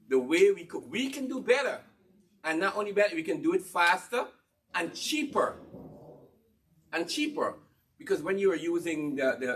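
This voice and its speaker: A man from Guyana with a Guyanese accent, giving a lively cookware demonstration talk to an audience, quizzing them and repeating his points. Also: on the high side, far from the mic, a little hiss or hum. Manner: energetic, asks the audience questions and repeats key phrases. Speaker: cookware presenter at a church gathering.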